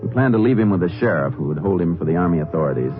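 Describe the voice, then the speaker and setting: Deep-voiced Texas Ranger, old radio. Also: male voice, lively intonation, racing, music in the background. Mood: matter-of-fact. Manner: terse, matter-of-fact questioning and narrating. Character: calm Texas Ranger investigator narrating his case. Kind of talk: 1950s radio drama acting. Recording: muffled old radio broadcast.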